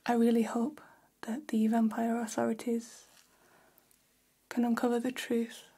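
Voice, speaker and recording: A woman playing a gossipy, flattering vampire hair stylist in a whispered ASMR role-play, speaking in a soft, breathy, conspiratorial hush. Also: a little high. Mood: conspiratorial, intrigued. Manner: slow, hushed, conspiratorial, indulgent. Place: small decorated indoor room.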